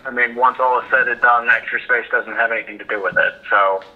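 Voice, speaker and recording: Calm man on a tinny phone line. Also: medium pitch, quick pace. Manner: calm, formal, sticks to company line. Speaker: storage facility staff. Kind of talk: phone call.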